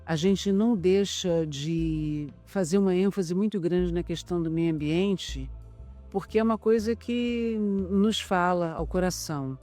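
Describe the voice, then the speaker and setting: A woman's voice: fairly low pitch, a little slow, warm tone. A middle-aged Brazilian woman, an actress and co-writer, speaking in a making-of interview. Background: background music.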